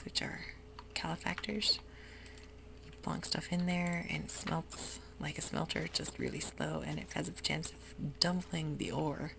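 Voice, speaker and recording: Soft close whisper with a gravelly edge. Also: medium pitch. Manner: soft mostly unvoiced whisper; hesitant, meandering, with many fillers. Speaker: gameplay ASMR host. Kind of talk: whispered ASMR game tour. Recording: audible hiss with keyboard clicks.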